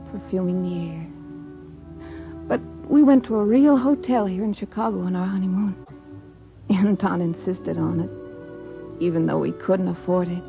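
A woman playing a frail, devoted young dying wife, soft and wistful, in 1950s radio drama style. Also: mid-range voice, close to an everyday voice. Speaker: patient character. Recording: tinny old radio recording with music.

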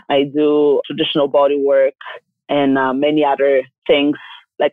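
A woman from Bulgaria with a Bulgarian accent, a yoga teacher and coach talking in a direct, forceful way and laughing often. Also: sits low, dry recording. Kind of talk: casual interview talk. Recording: tinny phone line.

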